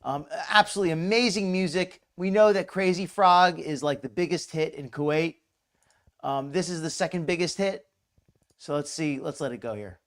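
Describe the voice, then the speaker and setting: A slightly hoarse man hosting a live reaction stream, chatty and mocking, greeting viewers in chat by name. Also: on the high side, moderate pace, a bit bright. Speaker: commentary livestream host. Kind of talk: casual live reaction commentary.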